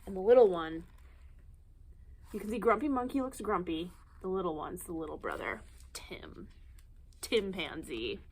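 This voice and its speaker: A woman's voice: lowish voice, animated, normal speed, pauses often, a little hiss or hum. A woman hosting a children's storytime, reading aloud and adding informal, chatty asides. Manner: informal, conversational asides to young listeners. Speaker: library storytime host reading picture books aloud.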